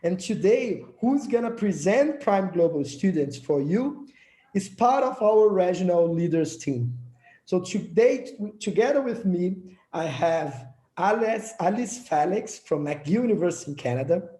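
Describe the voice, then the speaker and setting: Young Brazilian-accented man, earnest session presenter, mellow voice, slightly raised pitch. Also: expressive, a little slow, echoey room. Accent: Brazilian Portuguese. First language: Portuguese. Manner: earnest, with small slips and restarts. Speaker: project leader presenting a session. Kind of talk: prepared presentation speech.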